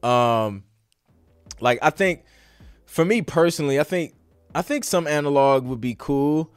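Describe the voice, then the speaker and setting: A man's voice: on the high side, expressive, measured pace, clean tone, no echo. A man hosting a podcast, talking in an informal, slangy, conversational way as in a live Q&A. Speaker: podcast host. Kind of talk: casual live Q&A chat.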